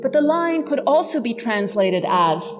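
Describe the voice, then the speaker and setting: A woman's voice: on the high side, sing-song, a little slow. A woman giving a scripted academic lecture as a professor, with a wry, emphatic manner. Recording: reverberant hall, music underneath.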